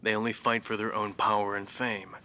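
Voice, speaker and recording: A rather low, tense-voiced man, a proud air officer. His delivery is flat and measured, with cold disdain, in an interview-style war game monologue. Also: clean tone. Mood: cold, bitter. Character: cold, proud defeated military pilot.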